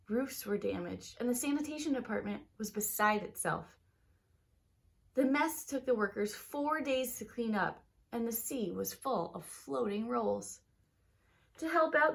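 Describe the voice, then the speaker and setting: A woman reading a picture book aloud for children in an expressive storytelling manner, with short explanatory asides to young listeners. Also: a little high.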